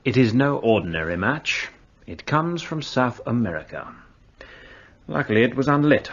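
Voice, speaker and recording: An actor playing an arrogant, self-important police detective in a radio play, lecturing pompously. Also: male voice, medium pitch, big pitch swings, moderate pace, a bit bright, breathy edge, full character voice. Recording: dull muffled studio audio.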